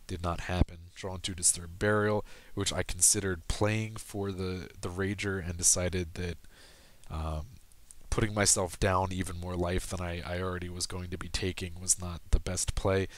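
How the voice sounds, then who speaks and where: A man's voice: deep voice, flat delivery, normal speed. A man commenting casually on his own recorded card game, explaining plays steadily with frequent ums and self-corrections, his voice a little worn. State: losing his voice. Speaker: gameplay commentator.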